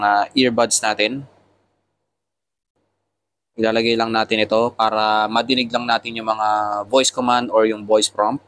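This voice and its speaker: A man's voice: medium pitch, a bit bright. Filipino man explaining gear step by step. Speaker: motovlogger reviewing motorcycle intercoms. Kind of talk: casual product tutorial.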